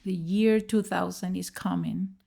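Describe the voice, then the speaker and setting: A middle-aged woman from Venezuela with a Spanish accent, a podcast guest telling her own story in earnest, slightly halting English. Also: on the low side, fairly even intonation, gravelly, dark tone. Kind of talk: personal storytelling in an interview.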